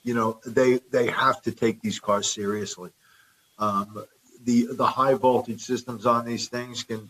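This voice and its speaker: A man's voice: fairly low pitch, a bit bright, low-quality recording. A middle-aged man with a New York accent, talking as a guest from his repair shop. He is practical and plain-spoken, often doubling words while he thinks. There is faint noise behind his remote line. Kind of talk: remote interview.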